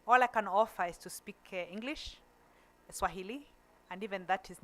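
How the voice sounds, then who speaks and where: A woman, a Kamba speaker, presenting at a conference in a voice of middling pitch. She speaks deliberately and takes her time, stumbling and restarting words now and then. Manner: deliberate and slow, with fillers and restarts.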